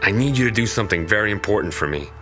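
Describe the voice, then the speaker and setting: A man's voice: fairly low pitch, animated, rapid-fire, a bit bright, soft background music. An actor playing a stern, protective young man in an audio drama, terse and commanding. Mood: earnest, reassuring.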